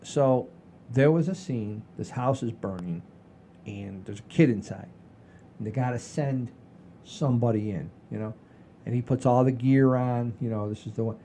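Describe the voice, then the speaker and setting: An older man hosting a casual podcast, rambling in a folksy, halting way with repeats and the odd mild swear. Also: mid-range voice, warm tone, a little hiss or hum. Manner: folksy, mildly profane, halting and repetitive. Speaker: podcast host.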